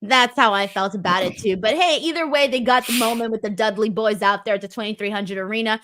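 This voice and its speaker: A woman's voice: mid-range voice, fast, fairly bright tone, slight background noise. A woman hosting a casual wrestling review show, recapping matches with enthusiasm. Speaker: host.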